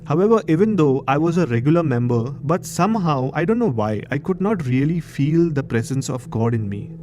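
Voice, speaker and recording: A man's voice: a little high, animated, a little fast, darkish tone, slight background noise. A man in his thirties from Maharashtra, India, with an Indian accent, hosting and narrating a horror story show. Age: 30s.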